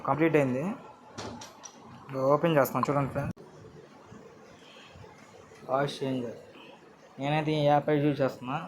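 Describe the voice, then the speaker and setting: A man's voice: medium pitch, flat delivery, brisk, pauses often. A man with a Telugu accent hosting a casual step-by-step app tutorial, speaking directly to viewers in an instructional way. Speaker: tutorial video host. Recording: noisy amateur recording with ambient noise.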